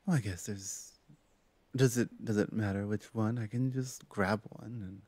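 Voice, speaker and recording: A woman voices a confident orc shaman who works magic in a fantasy ASMR drama. The tone is mellow and dark, with a slow, measured delivery. The sound is dry and close. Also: very deep voice, steady tone, husky, no room sound, heavily acted. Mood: assured, coaxing. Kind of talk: ASMR roleplay audio drama.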